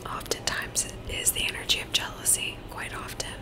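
A woman whispering softly and reassuringly as a Reiki practitioner, in an ASMR session. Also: moderate pace. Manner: soft whispering, gentle and reassuring. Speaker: Reiki practitioner and psychic medium. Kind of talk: whispered ASMR energy-healing talk. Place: Virginia, USA.

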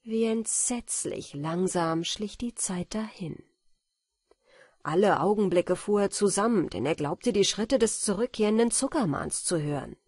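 Husky woman narrating a fairy tale. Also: mid-range voice, animated, measured pace, a little mellow, no room sound, clean studio sound. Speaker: audiobook narrator.

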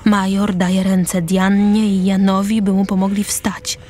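A woman narrating a radio drama, reading stage directions in short measured phrases. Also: mid-range voice, level tone, mellow, slight background noise. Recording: music behind the voice.

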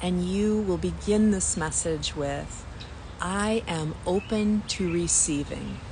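Very slow, gentle woman guiding meditation. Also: lowish voice. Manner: gentle, unhurried, with long pauses between phrases. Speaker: guided meditation leader. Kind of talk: guided meditation, spiritual and soothing. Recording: clear environmental noise, birdsong.

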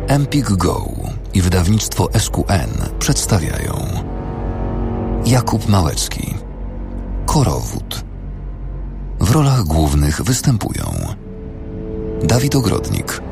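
A man's voice: deep voice, vocal fry. A man working as an announcer, reading scripted titles and credits. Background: music.

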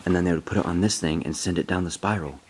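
Young male urbex host, deep voice, excitable and chatty, noisy on-camera audio. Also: quick pace. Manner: excitable, chatty, thinks aloud. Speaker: urban explorer host. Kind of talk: casual exploring vlog. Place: abandoned newspaper building indoors.

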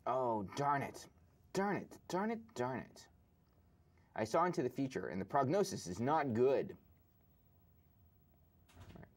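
A man's voice: mid-range voice, big pitch swings, unhurried, pauses often. A young man hosting a live studio chess show, chatting casually and mumbling to himself as he thinks over his moves. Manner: musing aloud, mumbling to himself while thinking. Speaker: show host playing chess. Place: dark TV studio.

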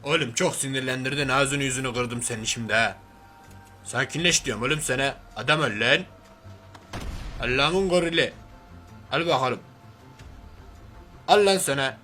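A man's voice: mid-range voice, moderate pace, long pauses, pushed and bright, faint music behind, lightly acted. A man voicing a brash, grumbling, slangy macho man turned reluctant superhero in a cartoon parody. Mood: exasperated. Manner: blustering, slangy, threatening then sentimental. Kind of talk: cartoon parody character dub.

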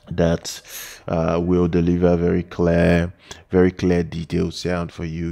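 A man giving casual product news commentary as a channel host, often repeating words and restarting phrases in a halting way. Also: low voice, dark tone. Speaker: tech review channel host.

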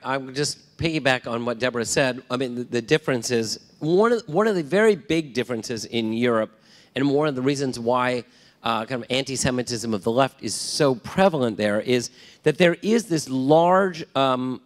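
A middle-aged man, an author on a panel, speaking in a measured way with restarts into a handheld mic. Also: medium pitch. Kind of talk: public panel discussion. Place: large lecture hall on stage. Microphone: handheld microphone. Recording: long hall reverb.

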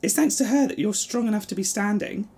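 A man's voice: high-pitched, brisk, dark tone, husky. A man playing a mouthy, anxious young human companion in a fan audio drama, colloquial and questioning. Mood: pleading, urgent.

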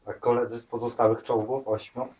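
A man interviewing an older veteran, asking short, soft-spoken questions. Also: medium pitch, little pitch movement, brisk. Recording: distant, dull camera audio.

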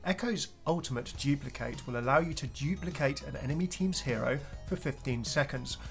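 A man's voice: medium pitch, brisk, creaky. A man narrating a gaming preview video as its host, in an upbeat scripted style. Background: background music.

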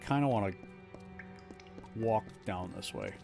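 A man's voice: fairly low pitch, unhurried, creaky. A man streaming a game, casually talking to himself as he explores and fights. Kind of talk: live gameplay commentary. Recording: game music behind voice.

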